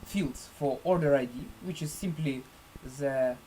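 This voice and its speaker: A man presenting a screen-share software tutorial in halting, deliberate phrases with formal, elaborate wording. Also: fairly high pitch, slight background noise, rough recording.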